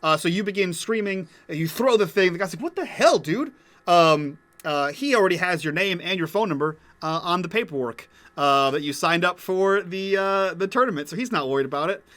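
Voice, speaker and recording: A man's voice: high voice, brisk, few pauses, sharp tone. A man narrating as game master of a tabletop game, casual with many fillers.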